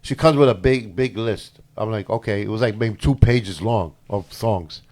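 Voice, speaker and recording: A man's voice: lowish voice, normal speed. Man telling a casual story. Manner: animated storyteller, gives shout-outs. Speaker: co-host and DJ. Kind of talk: casual podcast chat.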